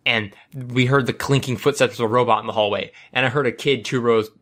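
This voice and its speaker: A man's voice: mid-range voice, steady tone, fairly quick, fairly bright tone. A man hosting a casual podcast discussion, talking in an enthusiastic way. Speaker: podcast host.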